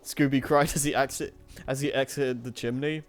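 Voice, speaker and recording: A man of average pitch reads a story aloud to an online audience. He narrates in a casual storybook way and trips over words, correcting himself as he goes. Light music plays faintly behind him. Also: fairly even intonation, moderate pace. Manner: stumbles and self-corrects while reading. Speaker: narrator of a streamed fan-fiction reading.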